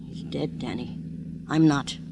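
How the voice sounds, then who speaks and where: Over clear background noise on an old radio recording comes a hard older mother from a gangster story. She is unsentimental and blunt, with clipped street talk and a lowish voice for drama of the era. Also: unhurried, full character voice. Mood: stoic, bitter. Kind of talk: 1950s radio crime acting. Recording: noisy old radio, vehicle rumble.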